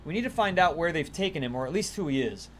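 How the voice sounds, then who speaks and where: A man reading as a measured spymaster. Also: a little high, lively intonation, slight background noise, natural voice. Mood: businesslike. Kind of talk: reading game quest text aloud in character.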